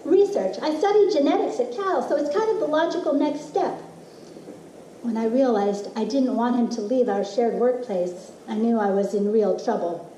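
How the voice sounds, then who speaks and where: A middle-aged woman reads a literary personal essay in a soft-edged, mellow voice that sits a little high. Her delivery is expressive and deliberate, with dramatic pauses. The sound is clearly roomy, picked up from some distance. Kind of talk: literary reading of a personal essay to a live audience. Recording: roomy, distant mic with faint noise.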